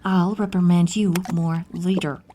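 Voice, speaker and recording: A woman playing a centuries-old moon spirit healer in a fantasy audio roleplay, motherly and brisk, with an expressive, hushed delivery. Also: on the low side, a little hiss or hum, natural voice. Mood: relieved, chiding.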